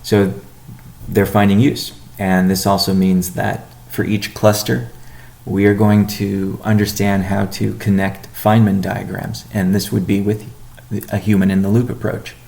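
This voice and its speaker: A man giving a company update on a conference call as its chief executive, speaking in a measured, halting way with deliberate pauses. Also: fairly low pitch, little pitch movement, vocal fry, a little mellow. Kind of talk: business conference call presentation.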